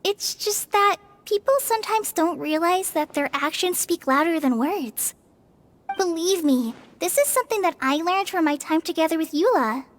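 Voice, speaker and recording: A woman voicing a shy, earnest young woman ranger in a video game, speaking gently and encouragingly. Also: very high-pitched, lively intonation, moderate pace.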